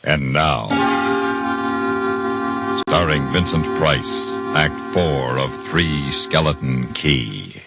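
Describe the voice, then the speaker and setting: A man's voice: rumbling low, extremely slow, creaky, long pauses. A man announcing an old-time radio drama in a formal introduction. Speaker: show announcer. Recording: muffled old radio recording with music.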